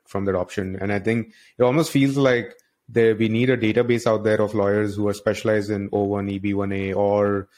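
A man's voice: on the low side, darkish tone. A man hosting a podcast interview in a conversational style.

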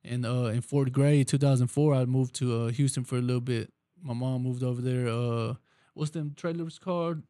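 A man's voice: medium pitch, fairly even intonation, moderate pace, mellow, dry recording, clean studio sound. A young man from Texas, a rapper guest, chatting casually with a laid-back drawl, heavy slang and swearing; Spanish was his first language. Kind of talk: casual podcast chat.

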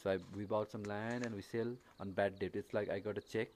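A man from Nepal speaks English with a Nepali accent, his voice sitting a little low. He talks in a halting, casual way, full of restarts and fillers. Faint background noise sits behind him. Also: level tone, darkish tone. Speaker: interviewee, paraglider. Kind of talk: casual conversation.

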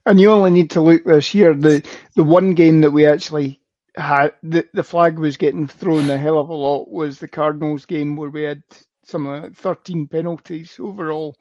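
A man's voice: fairly high pitch, steady tone, normal speed, mellow, dry recording, highs cut off. A man co-hosting a fan podcast, talking football in a rambling, casual way with many fillers. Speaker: podcast co-host. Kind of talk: casual sports chat.

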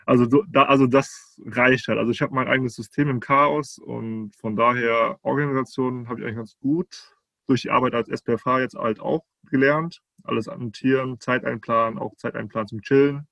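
A young man, a prospective doctoral candidate, speaking casually in a relaxed, slangy, somewhat hesitant way. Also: medium pitch, a little fast, vocal fry, no room sound. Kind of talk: casual conversation.

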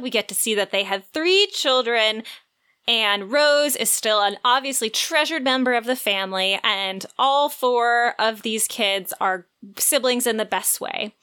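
A woman's voice: fairly high pitch, lively intonation, moderate pace, sharp tone, smooth. A woman co-hosting a podcast, retelling a story in a playful, informal way. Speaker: podcast co-host. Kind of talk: lively retelling of a romance novel plot.

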